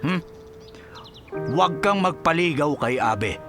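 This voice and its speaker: A man acts out a middle-aged Filipino farmer father for radio drama, his voice pitched high over background music. He is stern and resentful, scolding with heavy emphasis. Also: lively intonation, slow pace. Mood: stern, forbidding.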